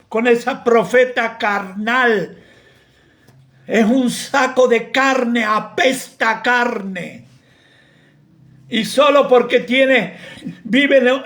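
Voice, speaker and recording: Argentine pastor, man, very high voice, slow and fiery, rebukes and shouts through the sermon. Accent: Argentine Spanish. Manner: impassioned, rebuking, bursts into shouting. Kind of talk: preaching a sermon.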